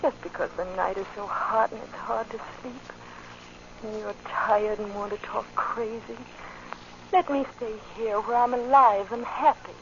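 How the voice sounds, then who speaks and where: Emotional young wife, muffled recording. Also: female voice, a little high, fairly even intonation, a little slow, a bit bright. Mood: upset pleading. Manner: emotional, pleading. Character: young wife, pleading, hungry for a better life. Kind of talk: old-time American radio drama acting. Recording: muffled, hissy old radio recording.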